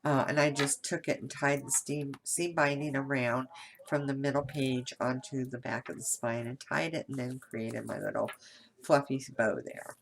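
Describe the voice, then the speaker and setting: Low-voiced woman with a cold. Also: fairly even intonation, moderate pace, creaky, slight background noise, poor audio. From Kentucky, USA. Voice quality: nasal. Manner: chatty, rambling, many fillers and restarts. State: has a head cold. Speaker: craft video host. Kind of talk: casual craft tutorial.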